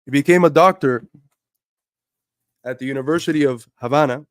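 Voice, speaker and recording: A man's voice: fairly high pitch, moderate pace, no room sound, clean studio sound. A man hosting a livestream, talking earnestly and building to emphatic points while feeling under the weather. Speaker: livestream host. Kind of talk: casual livestream talk.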